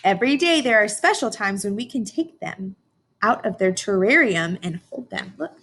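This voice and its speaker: A woman teacher reading a picture book aloud to young children, expressive, often pausing to question her listeners directly. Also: mid-range voice. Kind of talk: informational read-aloud lesson for young children.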